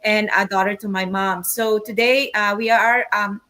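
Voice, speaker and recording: A middle-aged woman with a Filipino accent hosting an online panel casually, speaking in a halting way. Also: mid-range voice, fairly even intonation, sharp tone, faint music behind. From Philippines. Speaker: podcast host, nurse.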